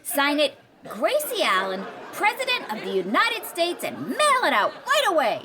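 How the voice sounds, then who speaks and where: A woman's voice: sits high, huge pitch swings, normal speed, over a noisy crowd. A woman playing a scatterbrained, cheerfully confident presidential candidate in old-time radio comedy, with an earnest, ditzy delivery. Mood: brightly self-assured. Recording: live stage with loud audience noise.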